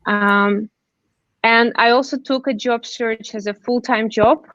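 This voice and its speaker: A woman presenting job-search tips on a webinar, earnest and halting, with many restarts and fillers. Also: on the high side, steady tone, a bit bright, dry recording. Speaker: webinar panelist. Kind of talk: slide presentation. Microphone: computer mic on a video call. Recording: dull dry video call audio.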